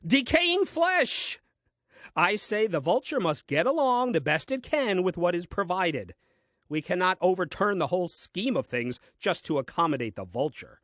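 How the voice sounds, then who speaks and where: An actor playing a literal-minded, puzzled and grumbling Adam in a comic diary-style audio play, with a deliberate, dryly pedantic delivery. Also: male voice, sits high, a bit bright, dry recording, full character voice. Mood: indignant. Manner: deliberate, theatrical, dry and pedantic.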